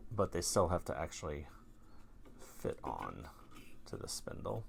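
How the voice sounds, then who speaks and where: Low-pitched man, creaky fry, rambling jokey delivery, casual product demo. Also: big pitch swings, measured pace, noisy background. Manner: rambling, jokey, self-mocking, colloquial. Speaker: host of a vintage gear video channel.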